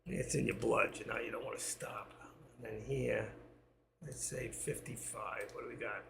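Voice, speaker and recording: A man hosting a live trading stream, talking to viewers while he watches the charts, with quiet asides between his sign-offs. He is losing his voice. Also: medium pitch, steady tone, normal speed, creaky, noisy background. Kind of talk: live market commentary to viewers.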